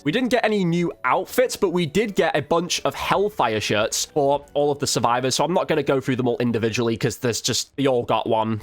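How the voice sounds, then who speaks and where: A man's voice: mid-range voice, fairly bright tone. A man giving casual, chatty commentary on a game update, informal and lightly sweary. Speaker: gaming video creator and commentator.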